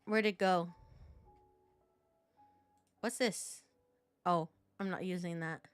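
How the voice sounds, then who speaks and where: A woman's voice: fairly low pitch, steady tone, takes it slowly, clear, resonant tone, no echo, soft background music. A woman giving casual, chatty live commentary as she plays a video game, thinking aloud to her viewers.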